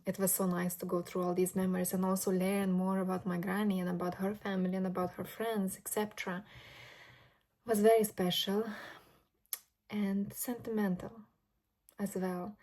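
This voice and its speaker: A woman's voice: mid-range voice, a little mellow. A Lithuanian-born woman in her late twenties, a vlogger talking earnestly and personally in a reflective monologue, with occasional fillers. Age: late 20s. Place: grandmother's home indoors.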